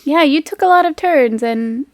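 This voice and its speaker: A woman game master with a high voice, casually narrating an improvised tabletop game. The sound is close and dry, with no room on it. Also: moderate pace, no room sound.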